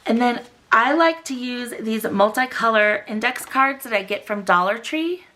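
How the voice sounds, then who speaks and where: A woman's voice: on the high side, moderate pace, sharp tone. Upbeat woman just over a cold. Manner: upbeat, gushing and chatty. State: recovering from a cold, voice slightly rough. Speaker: craft channel host. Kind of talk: casual tutorial chat.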